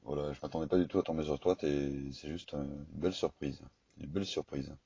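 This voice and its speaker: A man speaking informally and haltingly as an amateur radio operator, in a casual chat over the air. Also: very deep voice, fairly even intonation, warm tone, breathy edge. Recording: dull, echoey two-way radio recording.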